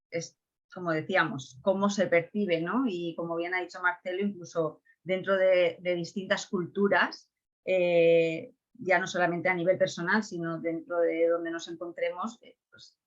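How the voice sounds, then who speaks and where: A woman's voice: lowish voice, steady tone, a little slow, lo-fi sound. A woman speaking as a guest in a casual panel discussion, hesitant, with many fillers and tag questions.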